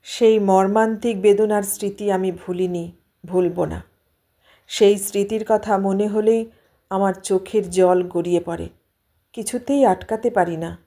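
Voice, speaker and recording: A woman narrates a literary story in a medium-pitched voice. Her reading is dramatic and emotive, shaped like an audiobook performance. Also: fairly even intonation, unhurried, dark tone.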